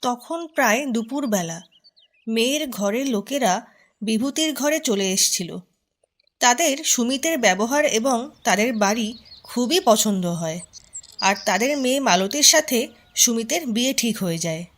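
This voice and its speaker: A woman's voice: a little high, lively intonation, a little slow. A woman narrating a scripted cartoon storybook tale.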